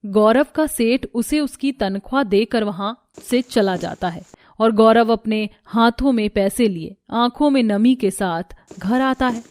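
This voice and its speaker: Woman, narrator, scripted moral story, higher pitch, dry close sound. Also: a little slow, a little mellow, no echo.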